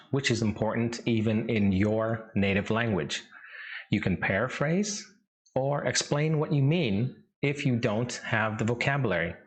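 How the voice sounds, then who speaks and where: Slow, measured Canadian-raised English teacher. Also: male voice, on the low side. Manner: slow, measured, instructive delivery. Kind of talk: scripted lesson narration.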